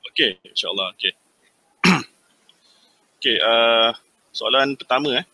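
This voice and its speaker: A man's voice: medium pitch, a little slow, creaky, pushed and bright, no echo. A man hosting an interview in a casual way, friendly and hesitant, with many fillers. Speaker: interview host.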